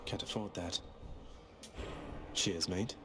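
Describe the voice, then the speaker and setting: Low-voiced wry underworld prince. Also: male voice, fairly even intonation, slow. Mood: dry, cool. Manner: dry, nonchalant, understated. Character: wry young male underworld prince, cocky and casual. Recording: game music behind.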